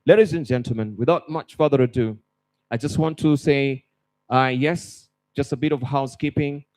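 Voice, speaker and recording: A man's voice: medium pitch, normal speed, a little mellow. A man working as master of ceremonies at a conference, upbeat and chatty as he welcomes guests and gives housekeeping notes. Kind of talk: conference emcee announcements. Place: conference hall.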